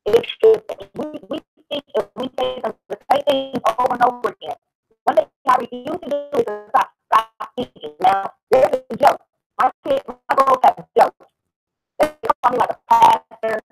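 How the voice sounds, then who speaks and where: A woman's voice: fairly high pitch, animated, normal speed, creaky, music in the background, poor audio. A middle-aged woman with an American accent, speaking casually and earnestly, a little preachy, searching for her words as she goes. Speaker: discussion participant and mother.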